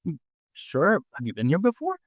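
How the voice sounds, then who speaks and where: A man's voice: a little high, wildly swooping pitch, moderate pace, no room sound. A man from Mexico City with a Mexican accent and Spanish as his first language, answering interview questions conversationally as a restaurant owner and telling anecdotes. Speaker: restaurant owner and chef, podcast guest.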